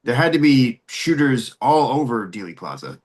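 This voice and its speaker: A man's voice: mid-range voice, big pitch swings, normal speed. A man acting a stubborn, disbelieving sceptic, speaking emphatically in a scripted scene. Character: stubborn, doubting male sceptic in the audience. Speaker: actor in a screenplay table read.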